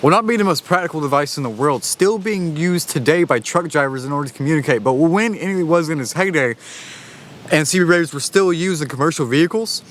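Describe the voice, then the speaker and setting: A man's voice: fairly high pitch, brisk, a bit bright. A man presenting an informal explainer in a narrating style.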